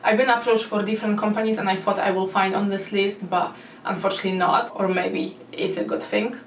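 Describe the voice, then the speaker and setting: A woman's voice: medium pitch, little pitch movement, brisk, far from the mic, slight background noise. Polish-accented woman in a roomy echo. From Poland. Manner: earnest, non-native phrasing with frequent restarts. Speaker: YouTuber. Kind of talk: casual explainer monologue. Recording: roomy echo, speaker away from the mic.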